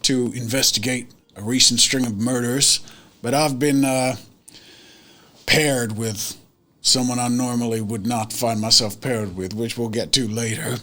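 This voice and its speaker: A man's voice: mid-range voice, fairly even intonation, a little slow, bright, forceful tone. A man casually talking as a player and host of a tabletop role-playing game. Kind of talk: casual character introduction.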